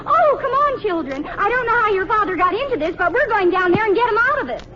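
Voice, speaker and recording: A muffled, very noisy vintage radio recording with a loud crowd. a capable, motherly American wife with an extremely high voice, fond and gently chiding. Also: big pitch swings, fast talker. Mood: exasperated. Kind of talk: old-time radio sitcom acting.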